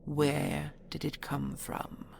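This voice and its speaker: A woman playing a regal, menacing dragon queen in an audio roleplay, speaking imperiously and deliberately with a growling edge. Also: deep voice, monotone, a little mellow, slight background noise, exaggerated voice. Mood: cool probing. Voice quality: gravelly, growling. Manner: imperious, slow, deliberate, threatening.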